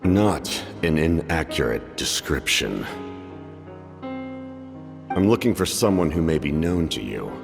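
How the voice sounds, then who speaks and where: A man voicing a grave, imposing, cold military commander in a video game, speaking in formal, deliberate phrases. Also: rumbling low, very animated, creaky, full character voice. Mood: cold, measured. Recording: long reverb, loud music underneath.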